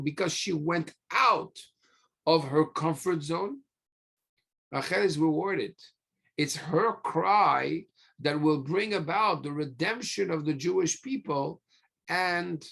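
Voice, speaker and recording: Male rabbi for religious lecture: unhurried, gravelly edge, emphatic teaching delivery with pauses and rhetorical questions. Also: fairly high pitch. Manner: emphatic, pausing often, repeats phrases and asks rhetorical questions. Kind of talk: sermon, teaching through scripture stories.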